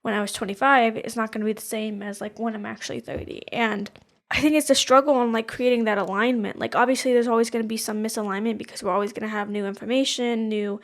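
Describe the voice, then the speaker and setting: A woman's voice: fairly high pitch, steady tone, quick pace. A woman in her twenties co-hosting a podcast, talking casually and rambling, searching for words with lots of fillers. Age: 20s. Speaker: podcast co-host. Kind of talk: casual chat.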